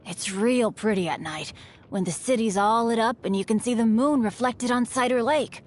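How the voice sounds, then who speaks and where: A woman's voice: a little high, normal speed, darkish tone, lightly acted. A woman voicing an upbeat, unlucky teenage boy adventurer in a video game, earnest and boyish. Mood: sheepish. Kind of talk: video game character voice lines. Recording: studio voice over background music.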